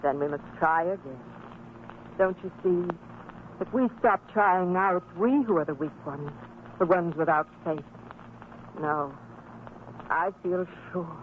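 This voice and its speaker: A woman's voice: on the low side, a little slow, long pauses, natural voice. An actress playing a devout, firm and hopeful wife and mother in a religious radio drama, speaking with earnest, formal exhortation. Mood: resolute, consoling. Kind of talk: old-time religious radio drama acting. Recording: tinny, band-limited old radio recording, noisy.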